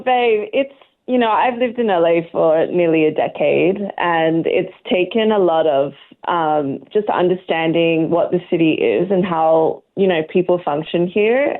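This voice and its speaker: A young woman calling into a podcast, talking in an easygoing, friendly way and laughing often. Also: lowish voice. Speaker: guest on a phone call. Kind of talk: casual chat. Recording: tinny phone line.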